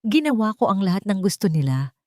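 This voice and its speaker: A woman voicing a wealthy young fiancée, gentle and sad, speaking softly and calmly in an audio love story. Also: mid-range voice, a little fast, no echo, studio quality, voice pushed up, natural voice. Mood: tearful confession. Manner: soft, calm, sorrowful.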